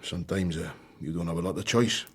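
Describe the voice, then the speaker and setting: An actor playing a gruff, principled but big-hearted Welshman with a husky voice and Welsh accent in a radio drama. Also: sits low, quick pace. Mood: subdued. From Wales. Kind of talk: naturalistic radio drama acting.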